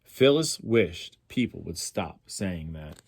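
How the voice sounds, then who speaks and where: A man's voice: deep voice, animated, slow pace, gravelly, very noisy. A man reading a picture book aloud expressively, as a storyteller for schoolchildren.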